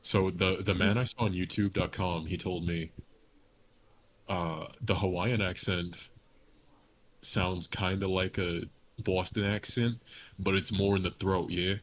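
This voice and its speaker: Low-voiced man on a noisy lo-fi mic. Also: steady tone, a little slow, pauses often. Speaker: podcast panelist, amateur voice actor. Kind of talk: casual group podcast chat. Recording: dull lo-fi mic with background noise.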